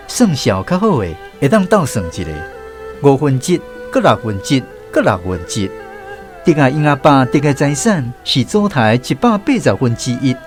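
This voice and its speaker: Lively Taiwanese man narrating on radio. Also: mid-range voice. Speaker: radio host and storyteller.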